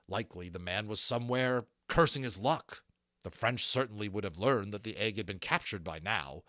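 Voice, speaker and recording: A man narrating an audiobook as an expressive storyteller, dropping into casual asides now and then. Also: fairly low pitch, no room sound, clean studio sound.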